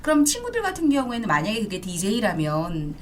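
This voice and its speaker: A woman in her thirties, a radio DJ, talking in an animated, story-telling way during an interview, laughing often. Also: mid-range voice, fast, a crowd in the background. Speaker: radio DJ guest. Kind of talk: casual interview talk.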